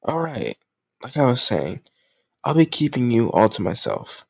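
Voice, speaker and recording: A man's voice: mid-range voice, huge pitch swings, measured pace, vocal fry, breathy edge, dry recording, studio quality, heavily acted. A man playing a lovesick, possessive yet awkwardly doting kidnapper in an intimate roleplay audio drama, talking straight to the listener with rambling reassurance. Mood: lovestruck, rambling.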